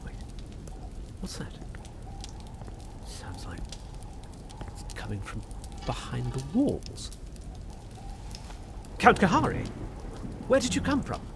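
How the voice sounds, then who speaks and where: Sardonic young lord, animated delivery. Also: male voice, mid-range voice, slow pace, creaky, pauses often, noisy background, exaggerated voice. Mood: startled. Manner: wry, challenging. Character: bold, sardonic young gentleman suitor, a lord. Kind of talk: radio suspense drama acting.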